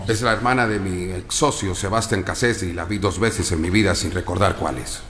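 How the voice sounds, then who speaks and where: A man's voice: low-pitched, normal speed. An actor playing an evasive businessman on the witness stand, giving curt, stonewalling answers in an audio drama. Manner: curt, flat denials. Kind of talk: dramatized court testimony. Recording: echoey noisy courtroom ambience.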